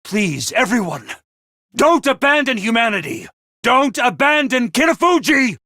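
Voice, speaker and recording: A man voicing an excitable, theatrical mascot commander of a military school in a video game, speaking emphatically. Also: very high voice, measured pace, dry recording, studio quality, exaggerated voice. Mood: desperate pleading. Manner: theatrical, emphatic, swinging from grave to bombastic. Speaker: commander addressing students. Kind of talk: animated video game voice acting.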